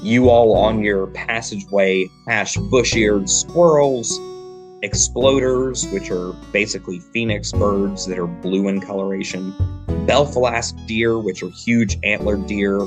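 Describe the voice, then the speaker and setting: Guy running a tabletop game, lowish voice, guitar music behind him. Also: unhurried. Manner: descriptive, steady narration. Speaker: game master.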